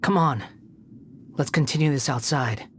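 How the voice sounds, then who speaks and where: A man's voice: on the high side, unhurried, slight background noise, lightly acted. A man voicing a cynical, proud, brooding young former villain in a video game, sardonic and aloof. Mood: curt, resigned.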